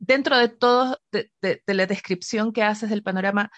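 A woman's voice: medium pitch, moderate pace, a bit bright, no echo, dull audio. Dry-sounding woman interviewer. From Ecuador. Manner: conversational, frequent short backchannels. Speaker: interviewer host. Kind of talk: interview questions.

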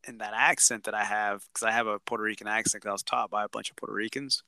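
A man chatting casually as a podcast co-host, animated, swearing and laughing as he acts out what others said. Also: lowish voice, fast talker, creaky, no room sound. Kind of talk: casual chat.

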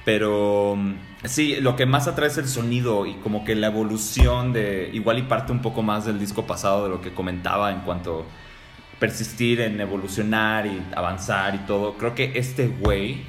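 A man's voice: fairly low pitch, fairly even intonation, normal speed. A young man from Venezuela co-hosting a casual chat about favorite albums, enthusiastic and slangy. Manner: enthusiastic, slangy, interjects often. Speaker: podcast co-host. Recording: echoey room with faint music.

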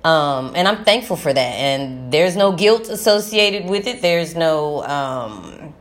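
A woman's voice: low-pitched, expressive, slow pace. A woman hosting a podcast, speaking in a casual, reflective motivational monologue and repeating phrases for emphasis. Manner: conversational, emphatic repetition for stress. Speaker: podcast host.

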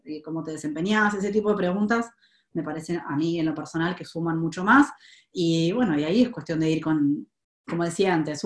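A woman's voice: on the low side, echoey room. A woman with a Rioplatense Spanish accent giving career advice in a live Q&A, thoughtful, with many hesitations and restarts. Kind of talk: live Q&A webinar talk.

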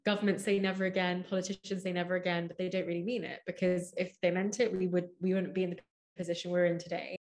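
Earnest young woman, very even pitch. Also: fast talker. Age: 20s. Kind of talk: earnest spoken personal account.

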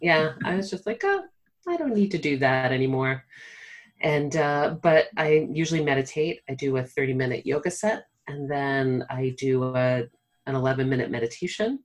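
A woman's voice: rumbling low, lively intonation, moderate pace. A woman who works as a medical director, in a casual, chatty talk, encouraging and playful. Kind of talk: casual chat.

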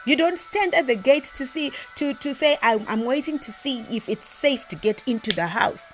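A woman's voice: high voice, animated, moderate pace, faint music behind. A woman preaching a sermon, emphatic and repetitive, often restarting her sentences.

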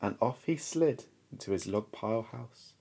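A woman narrating a children's storybook in a measured, expressive storytelling manner. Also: a bass voice, gravelly, slightly rough. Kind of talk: scripted children's story reading.

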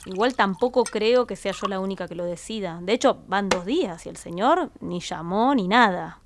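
An actress with a Rioplatense Spanish accent playing a worried, wry wife in an audio drama. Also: female voice, mid-range voice, moderate pace, lightly acted. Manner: anxious, wry. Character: worried wife, adult woman, anxious.

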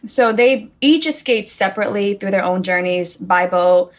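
A woman's voice: medium pitch, moderate pace. Earnest woman in her late thirties. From Boston, United States. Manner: earnest, reflective personal storytelling. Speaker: guest. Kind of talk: casual interview conversation.

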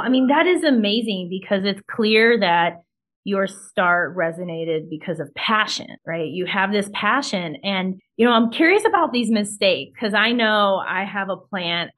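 A woman's voice: medium pitch, fairly bright tone. A woman hosting a podcast, reading a scripted intro and then chatting with her guest in an upbeat, enthusiastic way, often saying "like". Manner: upbeat, enthusiastic, many "like" fillers. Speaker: podcast host.

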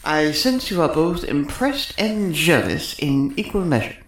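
A woman's voice: deep voice, sing-song, measured pace. A woman playing a cocky, sarcastic male electrical technician in a sci-fi comedy audio drama, smug and wordy. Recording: roomy echo.

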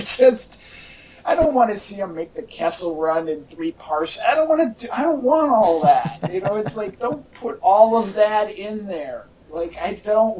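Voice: medium pitch, darkish tone. A podcast co-host chatting casually and giving opinions about movies, rambling and often restarting phrases. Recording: echoey, distant-sounding home podcast audio.

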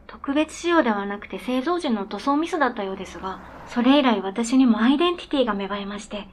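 A high-voiced, earnest snowmobile that has learned to talk , played by a woman. It speaks in formal, polite sentences with a matter-of-fact manner, as in radio drama dialogue. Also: expressive, fast talker, a little hiss or hum, close to an everyday voice. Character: earnest, polite talking snowmobile with its own identity.

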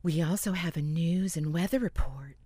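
A woman playing a washed-up, long-suffering news anchor, reading mock radio news in a measured deadpan. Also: sits low, slightly rough, no echo, studio quality.

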